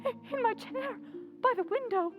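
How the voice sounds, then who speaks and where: Bossy society wife for radio play: high, shrill, wildly animated scolding, snobbish and indignant. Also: female voice, moderate pace, music in the background. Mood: alarmed. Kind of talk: old-time radio drama acting.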